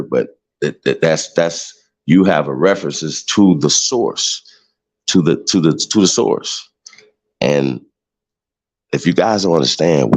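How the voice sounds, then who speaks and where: Deep gravelly man, preachy and emphatic. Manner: emphatic, preachy, repeats himself with many "right?" tags. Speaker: show host. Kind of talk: heated livestream monologue.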